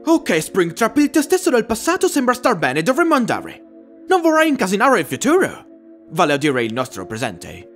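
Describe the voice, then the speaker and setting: A performer voicing an earnest, exasperated animatronic bear in an expressive, theatrical cartoon dub. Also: mid-range voice, moderate pace, faint music behind. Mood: concerned.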